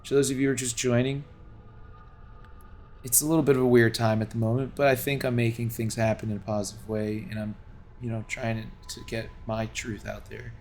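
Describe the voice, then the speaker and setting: A man from Los Angeles talks straight to his stream viewers at home, his voice staying level and even in pitch from line to line. He rambles earnestly, stopping and restarting his sentences and leaning on fillers, while a faint hum of room ambience sits underneath. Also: a little fast. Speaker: game streamer.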